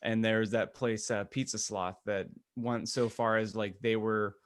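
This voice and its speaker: A man's voice: lowish voice, level tone, unhurried, smooth, no echo, clean studio sound. A man co-hosting a podcast in casual chat, telling things in a loose, slangy way. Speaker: podcast co-host.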